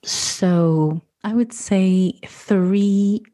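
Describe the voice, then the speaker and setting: A woman's voice: mid-range voice, drawn-out words, dark tone, no echo. A woman guest on a podcast, talking casually about her family, laughing easily and repeating words as she goes. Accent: London English. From Curacao. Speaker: podcast guest, food photographer. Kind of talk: casual conversation.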